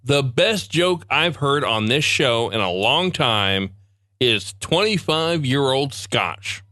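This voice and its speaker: A man's voice: mid-range voice, expressive, takes it slowly, pushed and bright, no room sound. A man hosting a podcast, reading a scripted sign-off. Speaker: podcast host. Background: faint music.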